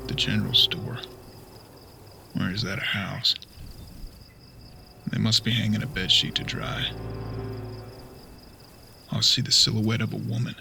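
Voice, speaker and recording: Man playing a creaky-voiced young western apprentice. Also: fairly low pitch, noisy background. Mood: curious. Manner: folksy, questioning. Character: young, inquisitive frontier apprentice detective, earnest and skeptical. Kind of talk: western audio drama acting.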